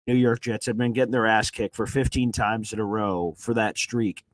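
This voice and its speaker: A man whose voice is nearly gone from screaming hosts a live sports reaction stream from a hotel room. He talks in an excited, rambling way, full of "uh"s and restarts. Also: lowish voice, normal speed, no room sound. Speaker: sports reporter and livestream host. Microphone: streaming setup on weak hotel wifi.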